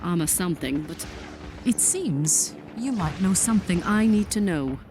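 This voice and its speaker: A woman's voice: fairly low pitch, expressive, unhurried, noisy background. A woman playing a commanding, impatient alien general in a sci-fi audio drama, clipped and drily sarcastic. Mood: businesslike, confident.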